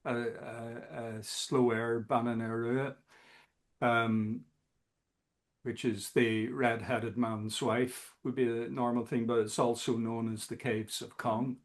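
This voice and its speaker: A man talking as a guest musician in a casual interview, halting often with fillers. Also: mid-range voice, level tone. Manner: slow, halting, many fillers.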